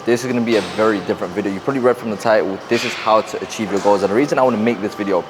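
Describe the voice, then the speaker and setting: A young man with a London accent, talking fast and rambling to camera in a casual motivational vlog, wearing a clip-on mic in a gym. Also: fairly low pitch, music in the background. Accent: London English. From South London, England. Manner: fast, rambling, repeats himself. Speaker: fitness and mindset coach. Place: busy gym.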